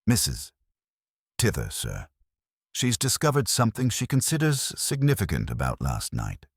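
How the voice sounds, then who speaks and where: A man's voice: lowish voice, wildly swooping pitch, normal speed, husky, no room sound, studio quality, voice pushed up, exaggerated voice. A man reading the part of a dutiful, polite police sergeant in a clipped, courteous way for a mystery story. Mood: weary, courteous.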